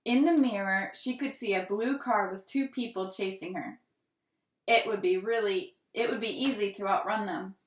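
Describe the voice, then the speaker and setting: A woman reading a children's picture book aloud with deliberate, dramatic emphasis and expressive delivery. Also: medium pitch. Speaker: children's storybook reader. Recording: roomy, echoing room sound.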